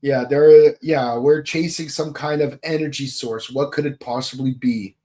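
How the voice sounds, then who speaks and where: Slow-talking joking male podcast host. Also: mid-range voice, fairly even intonation. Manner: jokey, rambling, thinks out loud. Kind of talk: casual chat about a TV series.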